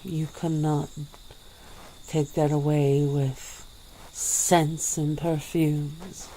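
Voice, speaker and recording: A woman voicing an ancient, weary female vampire in a soft-spoken ASMR roleplay, with low chuckles. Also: level tone, very slow, slight background noise, rough recording.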